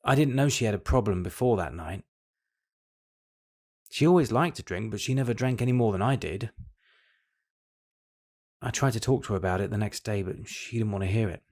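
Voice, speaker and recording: An actor with a British accent playing a regretful middle-aged husband, speaking in a measured, reflective way in an audio drama. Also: male voice, fairly low pitch, darkish tone, close to an everyday voice. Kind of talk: confessional audio drama monologue.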